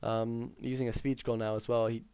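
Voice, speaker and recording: A man calling a strategy game match alone, talking through plays with many ums, his voice hoarse after hours of casting. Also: mid-range voice, a little fast, dry recording. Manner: steady, filler-heavy analytical play-by-play. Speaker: game caster. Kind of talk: solo esports play-by-play commentary.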